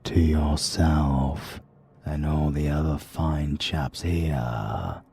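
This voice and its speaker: A man with a British accent voicing a demonic British gentleman who drawls slowly and menacingly. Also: vocal fry, husky, heavily acted. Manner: slow, deep, drawling and menacing.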